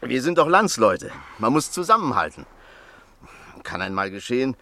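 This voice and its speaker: A man's voice: mid-range voice, sing-song, moderate pace, pushed and bright, slight background noise. An actor in a radio play voicing a stocky, pushy, jovial American neighbor in his fifties who talks insistently and chuckles.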